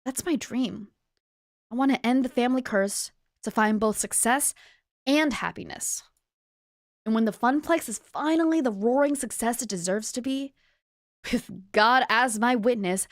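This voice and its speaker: A woman's voice: on the high side, a little slow, dry recording, clean studio sound, lightly acted. A woman playing an ambitious young arcade attendant in a visual novel, earnest and declamatory. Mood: determined. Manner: theatrical, declamatory.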